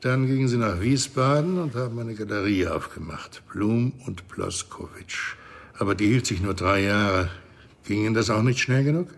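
An actor playing a smug, middle-aged interrogator in a crime radio drama, mock-polite and needling in a husky, gravelly voice. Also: male voice, on the low side, expressive, normal speed. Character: smug, menacing male consultant interrogating his captive.

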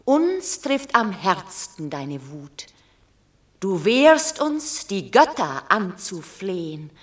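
A woman's voice: medium pitch, big pitch swings, slow. An actress playing a noble Roman mother in a classical verse radio drama, grave, formal and imploring but unyielding. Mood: sorrowful reproach.

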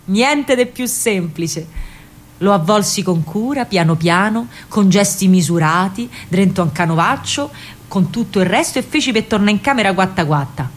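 Woman acting a young wartime partisan courier, Roman accent, spirited, working-class, earthy vernacular storytelling, deliberate pauses, mid-pitched, radio drama monologue. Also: expressive, lightly acted. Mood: hushed, careful.

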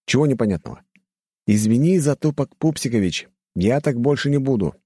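A man's voice: medium pitch, expressive, fairly quick, mellow, slightly rough, dry recording, studio quality, pitched above the performer's own voice. A man playing a brash, commanding hunter in an audiobook, with a curt, insistent manner. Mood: exasperated. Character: brash, bossy young male hunter, commanding and exasperated. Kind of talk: audiobook character dialogue.

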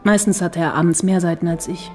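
Woman acting fond reflective lady writer, soft dark tone, violin music behind, radio play. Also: lowish voice, steady tone, a little fast, close to an everyday voice. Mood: fond, wistful. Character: admiring woman writer recalling her younger days. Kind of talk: memoir narration in radio play.

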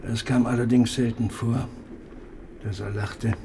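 A man's voice: medium pitch, level tone, a little slow, background noise, close to an everyday voice. An actor playing a bitter, stern yet resigned father and husband in a radio play, formal and ponderous.

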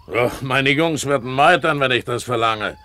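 Actor as gruff, blustering pirate captain, forceful voice. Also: male voice, medium pitch, moderate pace, close to an everyday voice. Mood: exasperated, loud. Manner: blustering, grumbling, curt. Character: gruff, irascible, domineering male pirate captain. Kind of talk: German radio play acting, 1970s.

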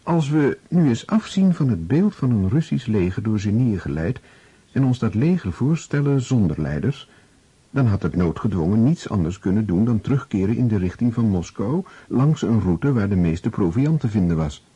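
Deep, very husky male narrator. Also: expressive, mellow, highs cut off. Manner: measured, serious. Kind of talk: scripted literary narration for radio drama.

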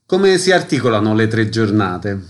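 A man's voice: on the low side, big pitch swings, moderate pace. A man hosting a radio program, asking brief formal questions and reading announcements. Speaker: radio host. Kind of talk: radio interview.

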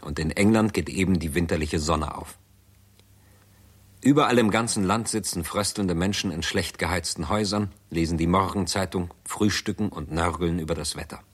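A man narrating a 1960s German radio drama in a measured, documentary-like manner. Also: low-pitched, breathy edge.

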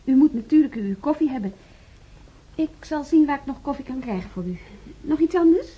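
An actress playing a timid, easily flustered young nurse in a radio play, hesitant and submissive. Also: female voice, high voice, expressive, brisk. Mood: eager, flustered. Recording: dull, muffled radio play recording.

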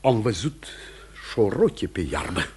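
An actor playing an anxious, domineering middle-aged Puritan pastor in a theatrical radio drama, urgent and imploring. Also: male voice, on the low side, big pitch swings, darkish tone, a little hiss or hum. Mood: anguished, accusing. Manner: fretful, urgent, imploring, then interrogating.

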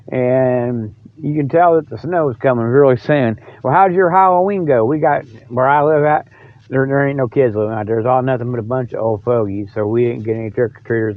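An older American man hosting a podcast in rambling, casual solo chat, with a folksy, jokey way of talking. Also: mid-range voice. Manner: folksy, jokey, often chuckles. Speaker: podcast host. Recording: dull lo-fi audio.

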